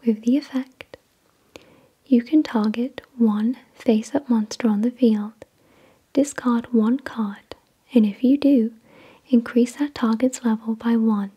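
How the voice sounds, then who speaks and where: A woman hosts ASMR in a very breathy, unvoiced whisper. Her voice is soft and dark in tone, sitting fairly high for a woman. She reads slowly and deliberately, in a soothing manner. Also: steady tone. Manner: slow, deliberate, soothing reading. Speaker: ASMR video host. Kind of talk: whispered ASMR card reading.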